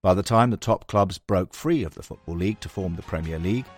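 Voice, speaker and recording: A man's voice: low-pitched, brisk, faint music behind. A man reading a sports history book aloud as a scripted narration. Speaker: audiobook narrator.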